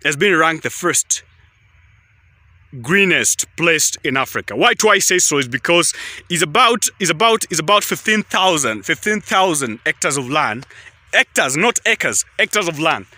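Bright-voiced Kenyan man vlogging outdoors. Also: on the high side, animated, moderate pace, no room sound. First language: Kalenjin. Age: young adult. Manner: rambling, repetitive, keeps saying guys. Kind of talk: casual selfie vlog. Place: outdoors in a tea field. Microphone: phone at arm's length.

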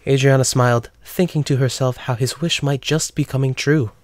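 A man's voice: medium pitch, fairly even intonation, moderate pace, no echo. A man reading scripted narration for a fantasy romance audio drama.

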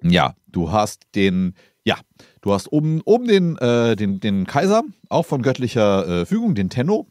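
Low-voiced man explaining history casually. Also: wildly swooping pitch, moderate pace, darkish tone, no echo, studio quality. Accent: German. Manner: chatty, explains with many fillers and restarts. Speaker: podcast co-host presenting the episode. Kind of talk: casual history podcast chat.

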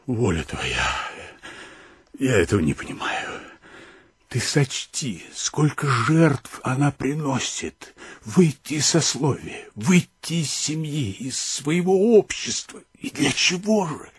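Grumbly old dad in a radio play, really raspy, slow and swoopy. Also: male voice, medium pitch, very animated, dry recording, heavily acted. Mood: aggrieved, bewildered. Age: older. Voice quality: husky, raspy. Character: aggrieved old provincial father, baffled by youth.

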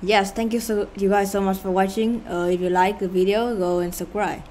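A woman's voice: medium pitch, flat delivery, a little mellow, slight background noise. Vietnamese-accented woman gaming channel host. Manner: sighing, sarcastic asides, halting and unhurried. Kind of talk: casual gameplay commentary.

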